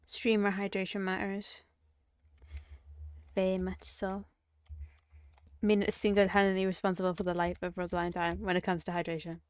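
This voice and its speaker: Croaky-voiced woman streamer muttering. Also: mid-range voice, monotone, moderate pace, long pauses, dry recording. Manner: rambling, self-mocking, mutters to herself. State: croaky, sore voice. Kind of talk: casual livestream chat while gaming.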